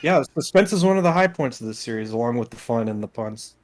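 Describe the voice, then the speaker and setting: A man's voice: medium pitch, animated, fast talker. A man chatting casually as a let's play co-host, explaining things in a hesitant, thoughtful way. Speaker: let's play co-host voicing game characters. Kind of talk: casual gaming chat. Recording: background game music. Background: game music.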